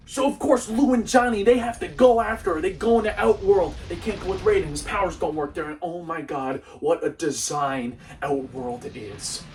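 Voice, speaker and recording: A young man with a high-pitched voice hosts a comedic movie review, picked up a little way from the mic. He is animated and sarcastic, breaking into exclamations as he goes. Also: normal speed, few pauses, recorded from a distance, slight background noise. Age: young adult. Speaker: video host, movie reviewer.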